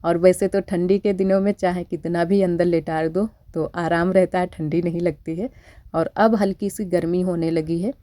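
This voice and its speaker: A woman's voice: fairly low pitch, fairly even intonation, moderate pace, warm tone, no room sound. A young woman vlogging her village household chores in a casual, homely way, her voice slightly thickened from a cold. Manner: chatty, homely, repeats fillers. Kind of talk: casual narration over daily chores. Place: village home.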